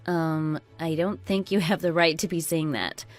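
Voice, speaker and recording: Woman acting a lower-voiced, coolly cutting daughter-in-law. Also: steady tone, faint music behind, lightly acted. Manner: low-key, measured, dry, pointed. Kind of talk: scripted radio drama dialogue.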